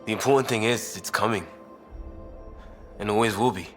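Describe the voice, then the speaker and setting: A man's voice: on the low side, normal speed, lightly acted. An actor playing an idealistic, restless young British crewman in a video game, speaking in clipped informal phrases. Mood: sentimental. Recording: game dialogue over faint music.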